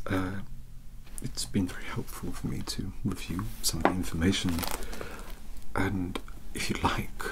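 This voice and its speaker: Casting: male actor for young bookish British mentor of vampire hunters, low hushed ASMR delivery, hesitant, courteous, awkwardly formal. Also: rough recording. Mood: apologetic, polite. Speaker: ASMR roleplay performer. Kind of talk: soft-spoken whispered ASMR roleplay.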